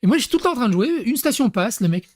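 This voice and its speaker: A man's voice: extremely high pitch, very animated, rapid-fire, no room sound, studio quality. A young man from Paris, telling casual anecdotes to his channel with many fillers and restarts. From Paris, France. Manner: rambling, lots of fillers and restarts, laughs at own stories. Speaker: YouTuber. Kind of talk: casual storytelling to camera.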